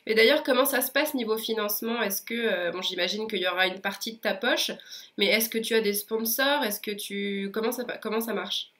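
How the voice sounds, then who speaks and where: A woman's voice: medium pitch, a little fast, fairly bright tone. A French woman hosting a casual interview with friendly, upbeat questions while she has a cold. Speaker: interviewer, host.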